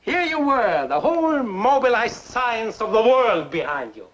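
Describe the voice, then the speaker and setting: An actor playing a bitter fugitive inventor who taunts theatrically, in a 1950s film style. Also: male voice, very high voice, big pitch swings, a bit bright, full character voice. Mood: gloating, mocking. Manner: sardonic, theatrical, drawn-out taunting. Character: bitter, gloating fugitive inventor, a mocking villain. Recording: muffled, noisy 1950s film soundtrack.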